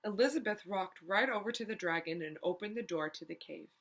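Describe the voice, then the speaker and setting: A woman's voice: medium pitch, brisk, fairly bright tone. A woman storyteller reading a children's picture book aloud expressively, with little asides to the listeners.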